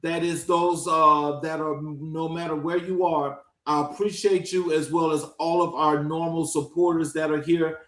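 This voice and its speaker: A male interview host with a fairly high voice greets the audience and lays out his questions step by step. His voice echoes off the room around him. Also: little pitch movement, unhurried, hardly any pauses, a bit bright. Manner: welcoming, asks long structured questions. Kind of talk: interview hosting.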